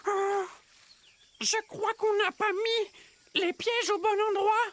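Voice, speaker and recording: An actor voicing a tiny, worried, excitable animal sidekick in a children's cartoon. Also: very high-pitched, slow, full character voice. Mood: anxious. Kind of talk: animated series dubbing.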